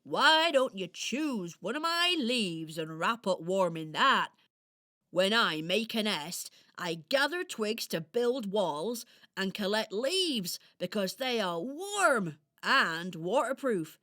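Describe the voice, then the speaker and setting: Woman acting a kindly sleepy hedgehog, children's radio play, very unhurried, exclamatory, animated intonation. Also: medium pitch, pitched below the performer's own voice, full character voice. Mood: sympathetic, helpful. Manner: exclaiming, dramatic, drawn-out delivery.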